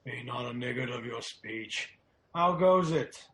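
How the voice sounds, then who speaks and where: A man's voice: mid-range voice, expressive, measured pace, a bit bright. A man playing an emotional, grave nobleman in a Shakespearean radio drama, speaking dramatic verse. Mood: anxious, urgent. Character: Macduff, a grief-stricken Scottish nobleman turned vengeful.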